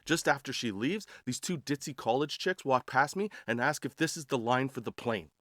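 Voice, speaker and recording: A man's voice: medium pitch, moderate pace, a bit bright, dry recording. A man telling casual comedic stories in a slangy, crude, sarcastic way. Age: 40s. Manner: slangy, crude, sarcastic, addressing listeners as dude. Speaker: podcast host telling travel stories.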